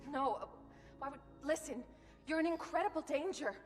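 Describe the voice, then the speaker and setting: A woman's voice: high voice, normal speed, lightly acted. A woman voicing a frightened young female AI in a video game, pleading urgently and faltering as she warns soldiers. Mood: alarmed. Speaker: voice actor in game cutscene. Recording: long hall reverb, music behind.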